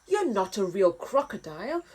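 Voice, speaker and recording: Woman as a crocodile, mean, mocking, snapping, storybook character, very animated. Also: medium pitch, normal speed. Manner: snapping, dismissive. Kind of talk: children's storybook character reading.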